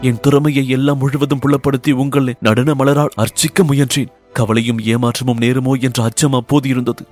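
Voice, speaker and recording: A man's voice: medium pitch, little pitch movement, normal speed, a little mellow, faint music behind, close to an everyday voice. A man voicing a devoted, humble woman temple dancer, speaking in earnest, poetic and pleading lines for an audiobook.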